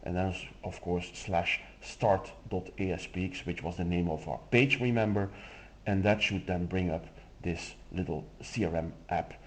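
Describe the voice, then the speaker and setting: A man with a very low voice, Dutch as his first language, walks through a software demo in English. He talks calmly and step by step, with the odd "uh" as he clicks along. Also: moderate pace, a little hiss or hum. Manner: relaxed step-by-step explaining with fillers. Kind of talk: screen-recorded software walkthrough.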